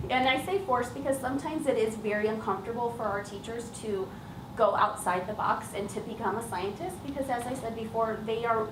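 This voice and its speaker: Woman presenting in an echoey, noisy room. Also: fairly high pitch. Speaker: teacher trainer giving a presentation. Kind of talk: public presentation, semi-prepared talk. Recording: roomy, distant mic, clearly noisy background.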